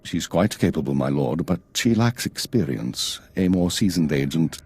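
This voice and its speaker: An actor playing a stern, weary intelligence chief in a video game, formal, clipped and measured. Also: male voice, very deep voice, lively intonation, darkish tone, slightly rough, full character voice. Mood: curt, formal. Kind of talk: video game cutscene acting.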